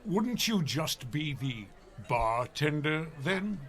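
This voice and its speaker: An actor playing a gruff, grim older dwarf in a comedy radio play. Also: male voice, fairly high pitch, sing-song, takes it slowly.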